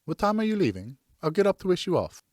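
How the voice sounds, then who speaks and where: Man voicing a soft, high-pitched tender wife. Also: sing-song, fast talker, no echo, studio quality. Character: gentle, caring wife of a nobleman.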